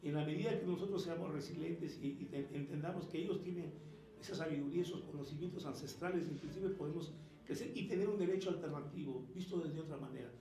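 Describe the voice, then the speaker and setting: A man's voice: fairly high pitch, quick pace, reverberant, rough recording. A middle-aged or older man from Peru who has long lived in Mexico, explaining things earnestly and emphatically as an interview guest. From Peru, long resident in Mexico. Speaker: interview guest, human rights lawyer.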